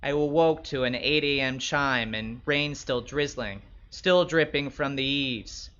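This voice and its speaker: A man playing a wry, wary young escort who narrates his own story in measured, descriptive literary prose. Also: medium pitch, pushed and bright, highs cut off. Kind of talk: first-person literary narration.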